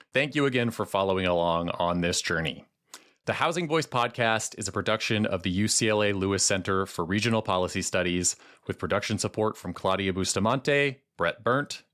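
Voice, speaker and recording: A man hosting a policy podcast, reading a measured, earnest introduction and then interviewing a guest. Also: on the low side, a bit bright. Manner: measured, clear and earnest delivery. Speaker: podcast host.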